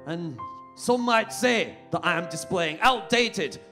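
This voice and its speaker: An improv comedian playing a gruff, blunt Yorkshire father who swears and blusters at his son, on a live comedy stage. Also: high voice, expressive, slow pace, over music, full character voice. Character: gruff blunt Yorkshire dad, tough and crude. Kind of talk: improvised musical comedy scene.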